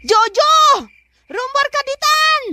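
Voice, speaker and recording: Shrill, jealous young Filipina lover. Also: female voice, lively intonation, slow, bright, forceful tone, exaggerated voice. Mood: shrill demanding. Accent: Ilocano. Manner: whiny, melodramatic. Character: pleading, jealous young woman fighting over her boyfriend. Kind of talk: Ilocano comedy radio drama acting.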